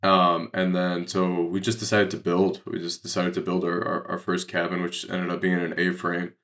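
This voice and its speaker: A man's voice: deep voice, completely flat delivery, normal speed, fairly bright tone. An American man speaking as a podcast guest, explaining things casually in a loose, rambling way full of filler words. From Detroit, Michigan, United States. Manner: rambling, heavy use of "like". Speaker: podcast guest, real estate investor.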